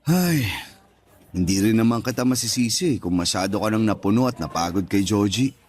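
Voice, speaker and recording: A man with a Filipino accent playing a calm, firm, concerned older brother in a radio drama. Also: on the low side, normal speed, husky. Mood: concerned, sympathetic. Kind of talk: Tagalog radio drama acting.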